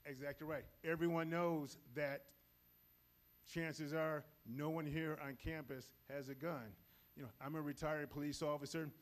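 A middle-aged man with a loud voice, giving a live safety training talk on a handheld mic in a large auditorium, moving around as he speaks. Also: fairly high pitch, normal speed. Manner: energetic, moves around the stage while talking. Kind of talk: live presentation to an audience. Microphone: handheld microphone.

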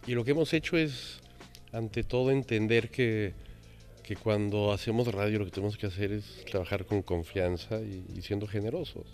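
A man in his fifties gives a reflective interview as a radio director. His voice is very deep and measured, with pauses and faint music behind. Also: a little mellow. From Mexico. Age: 50s. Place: indoor library.

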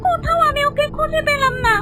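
A woman's voice: very high voice, steady tone, quick pace, distant mic, loud music behind the voice. A woman voicing a menacing evil female demon in a cartoon fairy tale, scolding and threatening, craving immortality. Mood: angry, commanding.

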